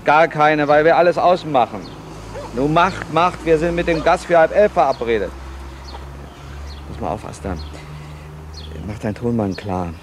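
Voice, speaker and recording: An actor playing a bossy, enthusiastic, middle-aged TV director who explains and commands in a naturalistic radio play. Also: male voice, a little high, lively intonation, normal speed, pauses often, noisy background, close to an everyday voice. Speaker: TV film director character. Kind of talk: naturalistic radio drama acting.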